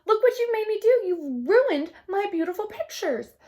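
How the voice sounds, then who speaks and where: Woman voicing an earnest high-pitched storybook girl. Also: very animated, moderate pace, a little mellow. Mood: upset, urgent. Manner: pleading, dramatic delivery. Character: earnest, emotional young fisherman's daughter in a storybook.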